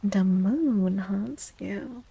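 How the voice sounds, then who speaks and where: A woman speaking softly and gently in a breathy, half-whispered ASMR style, like a livestreamer, often repeating phrases. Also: medium pitch, drawn-out words. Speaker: streamer and tarot reader. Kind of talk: soft-spoken ASMR livestream with casual chat.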